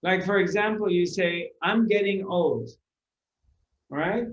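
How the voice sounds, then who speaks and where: A man giving a spiritual discourse to a live audience, unhurried, repeating his phrases and asking rhetorical questions. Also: high-pitched, slight background noise. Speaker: spiritual teacher. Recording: roomy, dull lo-fi recording.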